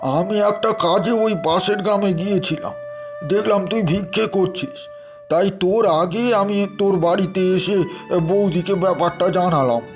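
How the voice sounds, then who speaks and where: A man's voice: high voice, moderate pace. A man playing a blunt, accusing friend in a cartoon story. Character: blunt, accusing male friend of an old businessman. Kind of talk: Bengali cartoon story dialogue. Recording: music behind the voice.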